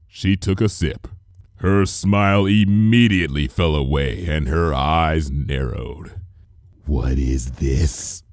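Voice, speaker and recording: A man reading fan fiction aloud as expressive, dramatic story narration. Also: rumbling low, takes it slowly, no room sound, studio quality.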